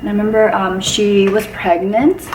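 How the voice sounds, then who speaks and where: A woman's voice: medium pitch, little pitch movement, normal speed. A woman hosting a casual chat with a friend, laughing often and saying "like" a lot. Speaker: vlog host. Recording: roomy, distant mic, noisy.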